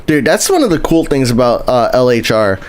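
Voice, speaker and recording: A man's voice: mid-range voice, sing-song, fairly quick, smooth. Chatty male game streamer, rambling. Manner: rambling and chatty, lots of restarts, greets chat viewers. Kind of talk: casual live-stream commentary while playing.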